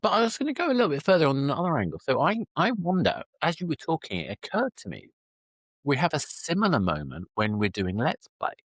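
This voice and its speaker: A man's voice: fairly high pitch, wildly swooping pitch, fast, no room sound. A man in a podcast conversation, musing aloud and working through his ideas as he goes. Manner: musing, discursive.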